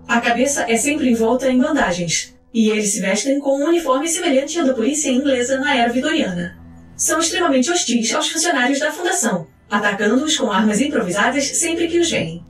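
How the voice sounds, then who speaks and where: A woman narrator with a high voice reads a scripted dossier in a formal, clinical manner. Her voice carries a roomy echo, with faint music underneath. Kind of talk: scripted documentary-style narration of fiction.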